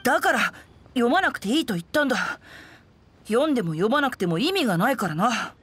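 A woman's voice: on the high side, very animated, fairly quick, fairly bright tone, breathy edge, full character voice. A woman voicing a refined, bookish teenage boy in an anime game, speaking with composed, polite and chivalrous phrasing. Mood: mildly exasperated. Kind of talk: Japanese anime game voice acting.

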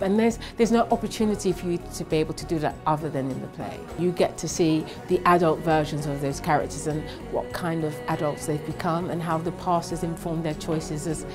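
Woman doing an interview, slightly low voice, music under her. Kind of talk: interview talk.

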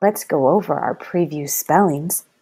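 A woman teacher reading a lesson aloud to young children in a deliberate, instructive way, enunciating each word. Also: low voice, creaky, mellow, husky. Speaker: first-grade teacher.

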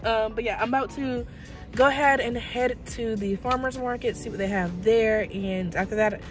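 A woman's voice: fairly high pitch, normal speed, music in the background. A young woman vlogging casually to a handheld camera from her car, bubbly and full of "like" fillers. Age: young adult. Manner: chatty, bubbly, many "like" fillers. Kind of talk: casual vlog chat to camera. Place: inside a parked car. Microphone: camera held at arm's length.

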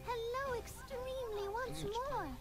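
Very high-pitched playful fairy. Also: female voice, fairly even intonation, unhurried. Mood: playful, welcoming. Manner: lilting, whimsical, oddly formal. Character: tiny playful female fairy with quaint speech. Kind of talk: fantasy video game voice acting. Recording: distant game audio under loud music.

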